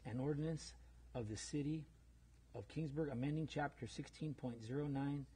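A man's voice: medium pitch, dark tone, husky. A man chairing a city council meeting, reading a motion aloud in a formal procedural tone. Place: council chamber.

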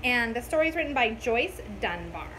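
A high-voiced woman in middle age reads a picture book to children. She is very animated and unhurried, with an expressive delivery. There is faint noise in the home recording. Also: poor audio. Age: middle-aged. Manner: expressive, asking the listeners questions. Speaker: storybook reader for children. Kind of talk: animated children's read-aloud. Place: room at home, indoors.

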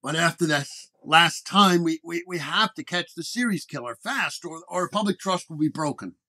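Man playing middle-aged transit police chief: officious, wordy bureaucrat, slightly high male voice, radio-play delivery. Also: expressive, normal speed, pushed and bright. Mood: anxious. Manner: officious, wordy, stammers under pressure.

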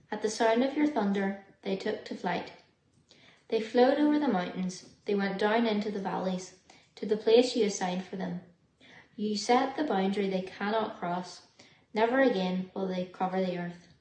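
A young adult woman reads a Bible passage aloud in a measured, plain manner in a living room. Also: mid-range voice, darkish tone. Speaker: scripture reader. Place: living room, seated indoors. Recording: roomy indoor home recording.

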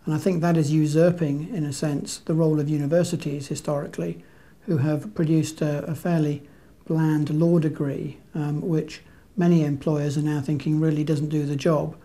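A middle-aged man with an extremely mellow, dark voice. His delivery is flat, measured and formal, as in a professional interview. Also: a little high. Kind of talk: interview answer. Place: small plain indoor room.

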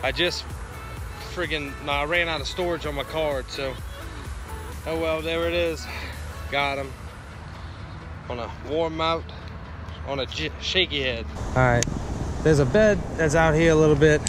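A man's voice: a little high, measured pace, long pauses, music in the background. Casual man, outdoor fishing vlog. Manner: informal, colloquial. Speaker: fishing vlogger. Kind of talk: casual chat to viewers. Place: outdoors by a park pond.

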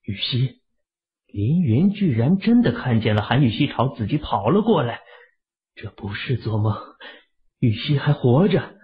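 A man with a husky voice narrating a fantasy novel as an audiobook in an emotive storytelling manner. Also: medium pitch, big pitch swings, unhurried. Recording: muffled lo-fi audio.

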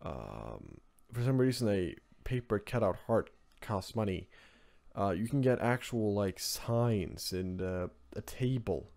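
A man from Norway hosting a casual software tutorial in English as a second language, talking in a rambling, offhand way with informal asides. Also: lowish voice, animated, slow, gravelly. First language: Norwegian. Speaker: tutorial host. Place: indoors at a computer, screen recording voice-over.

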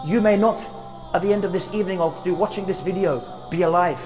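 A man's voice: high voice, fairly quick, darkish tone, big-room sound, soft background music. A man in his thirties giving an earnest religious reminder outdoors in a graveyard, pleading with rhetorical questions, on a lapel mic. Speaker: preacher.

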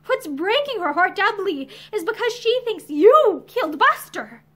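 A woman's voice: extremely high pitch, sing-song, measured pace, a bit bright, voice pushed up, exaggerated voice. A woman voicing an earnest, tearful young woman in a story, outspoken, with an emotional, pleading delivery. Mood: earnest, insistent.

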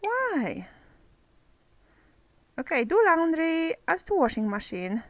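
Young woman, high and animated, casual game chatter, slightly sick voice, dry close mic. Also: slow pace, no echo. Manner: chatty, non-native phrasing, talks to her characters. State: getting sick, voice a bit off. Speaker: let's play host. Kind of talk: casual gameplay commentary.

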